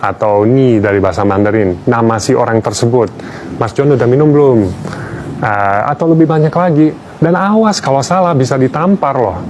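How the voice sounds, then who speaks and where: A man's voice: mid-range voice, moderate pace, darkish tone, a little hiss or hum. A man from Australia, a native English speaker, giving a wry, playful public talk as a language teacher.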